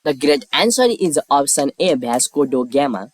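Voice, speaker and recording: A man's voice: medium pitch, animated, normal speed, slight background noise. A man reading scripted quiz questions and lettered answer options as a narrator.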